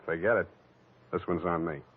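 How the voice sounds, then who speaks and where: Low-pitched hard-boiled radio detective played by a man. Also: fairly even intonation, normal speed, a little hiss or hum, lightly acted. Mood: wry, tough. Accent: American. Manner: laconic, wisecracking, tough. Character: wry hard-boiled male private detective narrating his case. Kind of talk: 1940s radio detective acting. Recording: tinny old radio broadcast, loud music.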